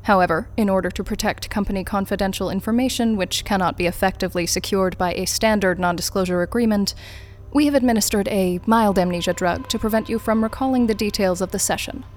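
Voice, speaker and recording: An actress playing a calm, officious corporate interviewer in an audio drama, speaking formally and with composure. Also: female voice, mid-range voice, fast, close to an everyday voice. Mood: composed, formal.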